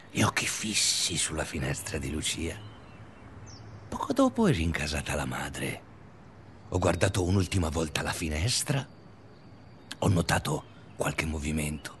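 An actor playing an obsessive, bitter stalker confessing in a brooding, intimate radio drama monologue. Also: male voice, very deep voice, sharp tone, slight background noise, full character voice. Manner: brooding, intimate narration with agitated surges. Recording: music under the voice. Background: music.

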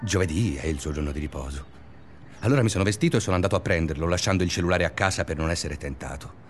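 An actor playing an obsessive, restless male schoolteacher in a confessional radio drama monologue, speaking deliberately in short phrases. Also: low-pitched, soft background music, natural voice. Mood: tense. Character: obsessive, restless male schoolteacher narrating his sleepless days.

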